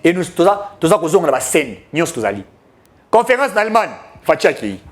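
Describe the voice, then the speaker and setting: A man's voice: a little high, very animated, brisk. A man giving emphatic, declamatory political commentary, mixing French with Lingala.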